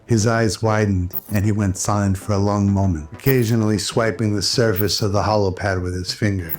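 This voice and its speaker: Male narrator, lowish pitch, flat delivery, noir radio drama storytelling, clear music bed. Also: brisk. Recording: music under the voice.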